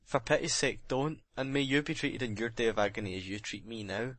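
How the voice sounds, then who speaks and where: A man's voice: mid-range voice, steady tone, brisk, fairly bright tone, no echo, close to an everyday voice. A man playing a jealous, haunted sailor giving a bitter, intense murder confession in a dramatic audiobook reading. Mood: haunted, pleading. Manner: intense dramatic confession, plain working-man's phrasing.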